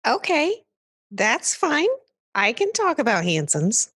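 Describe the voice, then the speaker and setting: A woman's voice: fairly high pitch, huge pitch swings, slow pace, fairly bright tone, slightly rough, heavily acted. A woman playing an earnest, exasperated food expert, a guest in a radio comedy sketch, speaking casually with hesitant stammers. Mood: placating.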